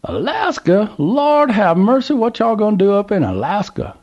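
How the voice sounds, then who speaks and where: A man putting on a squeaky excited old Southern codger. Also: moderate pace, dull audio, heavily acted. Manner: drawling, exclaiming, high and squeaky.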